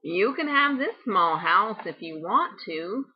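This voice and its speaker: A woman's voice: medium pitch, sing-song, slow pace, breathy edge, lo-fi sound, heavily acted. A woman voicing a fussy but generous goose in a children's storybook, speaking plainly and emphatically.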